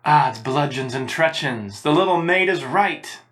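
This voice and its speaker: A woman's voice: low-pitched, animated, measured pace, soft background music, voice pushed down. A woman voicing a gallant male knight with pompous, archaic, chivalrous speech for a storybook. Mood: brave, proud. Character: weary, gallant old-fashioned male knight in armour. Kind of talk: dramatic storybook reading.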